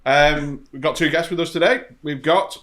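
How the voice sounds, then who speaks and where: A man's voice: fairly high pitch, a loud crowd. A man from St Helens, hosting a casual comedy podcast and laughing often. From St Helens, England. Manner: jokey, apologetic, quick to laugh. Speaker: podcast host. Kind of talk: casual chat.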